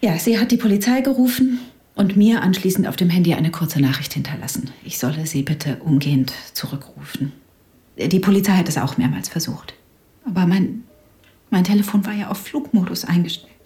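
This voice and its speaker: An actress playing a polite, grieving widow in a naturalistic radio drama, speaking softly and hesitantly with some breathiness. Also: female voice, on the low side, fairly quick, natural voice. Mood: subdued, hesitant.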